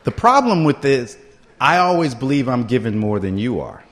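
A man's voice: medium pitch, sing-song, moderate pace, a bit bright. A middle-aged man telling his own story at a recovery meeting, conversational and often checking in with the listeners. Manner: conversational, keeps asking "right?" to the room. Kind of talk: personal story told to a meeting.

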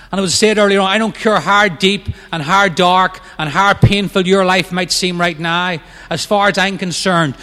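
A middle-aged man with a Northern Irish accent, telling his recovery story with quick, self-mocking humor. His voice sits high and sounds bright and forceful. It rings out with a long hall echo. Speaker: main speaker at a recovery meeting. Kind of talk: personal recovery talk.

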